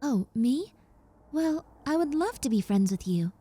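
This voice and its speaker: A woman's voice: high voice, big pitch swings, a little slow, dark tone, husky, exaggerated voice. A woman playing a shy, rambling young roommate, a reformed obsessive, in an intimate audio roleplay with hesitant, stammering delivery. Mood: reassuring, tender. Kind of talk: roleplay audio acting, intimate one-sided conversation.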